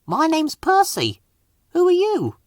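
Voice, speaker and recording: A man voicing a plucky young male steam engine from a children's storybook, quick to get cross and given to excited exclamations. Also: very high-pitched, no echo, studio quality, pitched above the performer's own voice, exaggerated voice. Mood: friendly.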